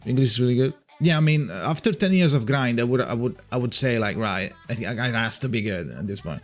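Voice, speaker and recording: A man's voice: medium pitch, animated, a little fast, faint music behind. Italian-accented man rambling casually. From Italy. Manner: rambling, many restarts, swears casually. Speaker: streamer. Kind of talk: casual livestream chat.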